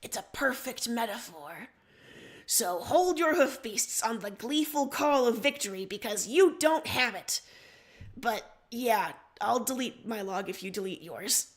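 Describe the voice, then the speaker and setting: A woman's voice: a little high, animated, a little slow. A woman voicing a grumbling, insecure young male troll in an amateur dramatic reading of fan fiction. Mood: scornful, bickering.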